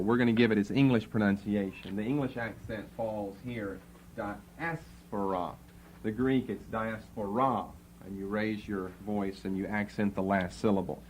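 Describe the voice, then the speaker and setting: Low-voiced man teaching a class. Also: a little hiss or hum, low-quality recording. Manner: spells out words and repeats terms slowly. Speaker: Bible teacher. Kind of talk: classroom lecture. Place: classroom with students writing.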